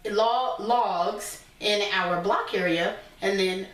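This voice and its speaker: A woman teacher reading a picture book aloud to young children, pausing to explain things simply in her own words. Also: medium pitch, fairly bright tone. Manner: instructive, repeats and explains points simply. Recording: echoey room, distant from mic.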